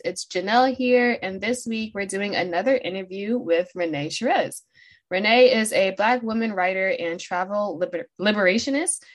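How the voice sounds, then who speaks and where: A woman in her twenties hosting a podcast interview in an upbeat, conversational style. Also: mid-range voice. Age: 20s.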